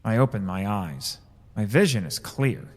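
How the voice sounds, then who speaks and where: A man with a theatrical, excitable delivery, acting and narrating a scripted first-person drama. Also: fairly low pitch, unhurried. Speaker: host and narrator of a fiction podcast.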